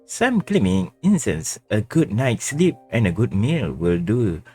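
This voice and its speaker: A male game streamer reading dialogue aloud during a playthrough in a halting, stumbling manner. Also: lowish voice, big pitch swings, a little mellow, slightly rough, soft background music.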